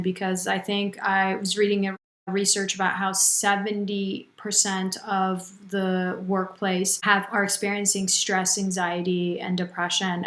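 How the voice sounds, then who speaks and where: A woman's voice: medium pitch, a bit bright. A woman speaking as a podcast guest, answering conversationally in an interview. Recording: roomy, echoing sound.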